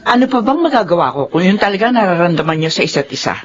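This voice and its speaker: A woman's voice: fairly low pitch, sing-song, fast talker, husky. An actress playing a stern, hot-tempered middle-aged mother in a Filipino radio drama, confrontational and exclamatory. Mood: stern, probing. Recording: dull lo-fi radio sound, slight noise.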